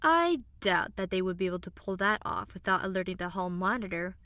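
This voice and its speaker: A woman voicing a sensible, wry young illusionist student in an audio drama, measured and gently chiding. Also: on the low side, lively intonation, no echo. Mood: mildly dry. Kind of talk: audio drama character banter.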